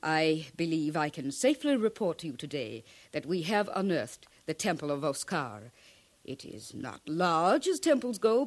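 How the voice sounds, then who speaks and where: A learned scientist and dean in a 1950s radio play. She lectures with confidence in precise, formal phrases, her pitch swinging in a lively way. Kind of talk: 1950s radio drama acting.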